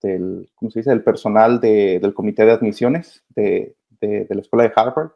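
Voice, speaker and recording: Low, creaky man, hesitant. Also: normal speed. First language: Spanish. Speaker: interview guest, migrant children's advocate. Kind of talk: casual, earnest interview answers. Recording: slightly dull, lo-fi sound.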